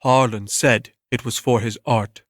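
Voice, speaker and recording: A man's voice: mid-range voice, steady tone, measured pace, no room sound, studio quality. A man narrating a story as a scripted dramatic reading.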